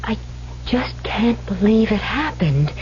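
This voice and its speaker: A woman's voice: medium pitch, lively intonation, takes it slowly, a little mellow, highs cut off. An actress playing a frightened, shaken young woman in a 1970s radio thriller, on a very noisy old recording. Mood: shaken, subdued. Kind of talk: 1970s radio drama acting.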